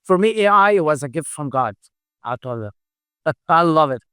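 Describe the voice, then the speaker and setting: A middle-aged man, a company founder, speaking English as a second language in a halting interview answer style, full of fillers and repeated words. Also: fairly high pitch, animated, fairly bright tone, clear, resonant tone, no echo, clean studio sound. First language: not English. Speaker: founder and CEO, podcast guest.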